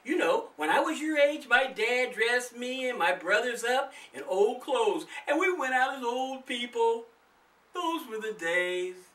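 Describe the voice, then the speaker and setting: Guy doing a storybook mom, fond but firm, pitched up high and bright. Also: expressive, a little slow, reverberant, recorded from a distance, full character voice. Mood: gentle, nostalgic. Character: fond, nostalgic but firm mother of young children. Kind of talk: children's storybook character voice.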